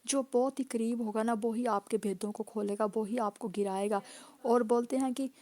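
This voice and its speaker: A woman pastor preaching a sermon with a hoarse voice, driving points home through repeated phrases and calls of hallelujah. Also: on the high side, fairly even intonation, moderate pace, a little mellow.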